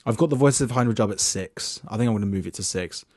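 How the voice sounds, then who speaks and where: A man's voice: on the low side, fast, darkish tone, no echo, clean studio sound. A young man casually talking through his film award predictions, chatty and loose, with plenty of restarts, reading from his notes. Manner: reads his list off notes.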